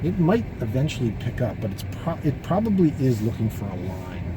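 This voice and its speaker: A man's voice: mid-range voice, very animated, brisk. A man in a car casually explaining the features of his electric car, chatty and laughing at times. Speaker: car owner vlogger. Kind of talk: casual chat. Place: inside a car. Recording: loud road noise in car.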